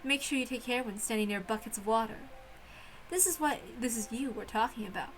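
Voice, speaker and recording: A woman's voice: a little high, a little fast, close to an everyday voice, poor audio. A woman voicing a haughty, teasing, prank-loving witch in a theatrical visual novel read-aloud, mocking and grandly condescending. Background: music.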